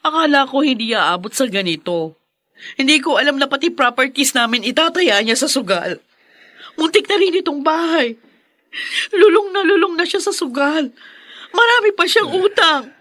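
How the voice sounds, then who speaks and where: A woman's voice: sits high, lively intonation, moderate pace, bright, forceful tone, no echo. A Filipino woman playing a distraught, apologetic wife and mother in a radio drama, meek and pleading. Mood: ashamed, tearful.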